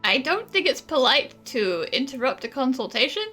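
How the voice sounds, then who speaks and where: A woman's voice: high-pitched, very animated, normal speed. A woman voicing a wry, teasing companion in video game dialogue, with a sarcastic edge. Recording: game music behind the voice.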